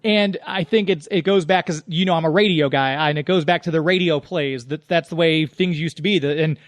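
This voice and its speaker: A high-pitched man speaks as a radio host in an easy conversational interview style. The sound is very dry, with hardly any room on the voice. He moves between addressing listeners and chatting with his guest. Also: fairly quick, no echo.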